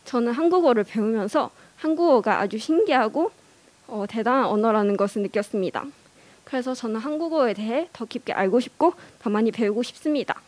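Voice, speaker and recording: A young woman delivers a prepared contest speech in a language she learned. Her voice sits a little high and sounds dry and close. She speaks carefully and earnestly, with the odd filler pause. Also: darkish tone, no echo.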